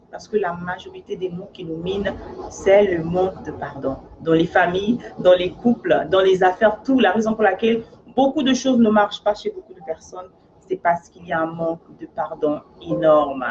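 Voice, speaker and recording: A woman's voice: on the low side, normal speed, a little mellow, highs cut off, slight background noise. A woman from Africa who works as a life coach, talking in an earnest, casual monologue and often checking in with her listener.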